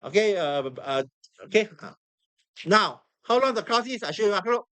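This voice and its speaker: A man with a Singaporean English accent teaching a live trading class. He is hoarse from losing his voice, repeats himself often and throws questions at the room. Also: high voice, animated, normal speed, fairly bright tone. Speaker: trading instructor.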